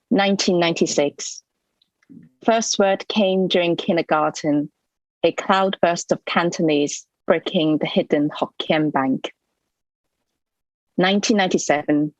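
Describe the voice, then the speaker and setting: A young woman from Hong Kong with a Hong Kong English accent, reading her poems softly and carefully at an online event. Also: low-pitched, no echo, dull audio. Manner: soft-spoken, measured, with frequent ums. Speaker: poet reading her work. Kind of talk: poetry reading with spoken introductions. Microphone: computer mic on a video call.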